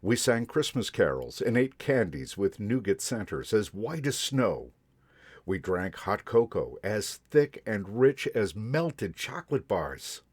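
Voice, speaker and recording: Slow, expressive man reading a storybook. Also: lowish voice, husky, dry recording. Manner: gentle, unhurried, expressive reading. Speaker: storyteller in a Santa persona. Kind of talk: storybook read-aloud to children.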